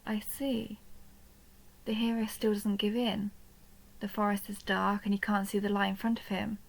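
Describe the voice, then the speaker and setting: A woman playing a seductive, possessive vampire countess in an intimate audio roleplay, speaking slowly and teasingly, often dropping toward a breathy whisper. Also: mid-range voice, little pitch movement, low-quality recording. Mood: soft, musing. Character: seductive possessive vampire countess toying with her human captive. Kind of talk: intimate dark romance audio roleplay, ASMR-like.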